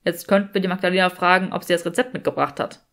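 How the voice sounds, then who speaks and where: A woman's voice: on the low side, fast, a bit bright, close to an everyday voice. A woman voicing a vain, teasing young male wizard in a fantasy audiobook. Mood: smug, teasing.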